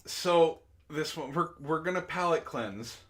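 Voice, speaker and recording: A man's voice: fairly high pitch, measured pace, fairly bright tone. A man hosting a casual online chat, reading messages and memes aloud and reacting in a giggly, profane way.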